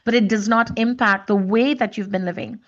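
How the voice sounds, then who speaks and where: A woman's voice: mid-range voice, steady tone, fairly quick, lo-fi sound. A woman from Trinidad and Tobago speaking reflectively and conversationally as a podcast host, often repeating words as she searches for phrasing.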